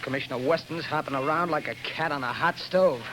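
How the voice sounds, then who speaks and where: A gruff street cop on patrol, played by a man in a 1930s radio drama, chatting in a streetwise way. His voice sits a little high, and loud hiss fills the old recording. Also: fairly quick, natural voice, low-quality recording. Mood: chatty.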